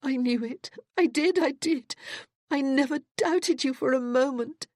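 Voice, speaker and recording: A woman's voice: on the high side, moderate pace, a little mellow, slightly rough, no echo, studio quality, voice pushed up. A woman voicing an earnest, emotional young woman in an audiobook, breathless and tearful.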